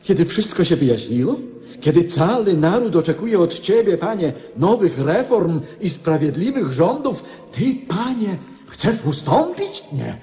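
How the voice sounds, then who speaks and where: An actor playing a flustered, deferential royal minister in a radio drama, theatrical and pleading. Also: male voice, high voice, very animated, unhurried, dark tone. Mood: dismayed, pleading.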